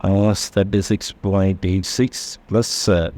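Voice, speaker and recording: Slow, low-pitched man teaching calculations. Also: flat delivery, darkish tone. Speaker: electrical engineering lecturer. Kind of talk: step-by-step worked math tutorial.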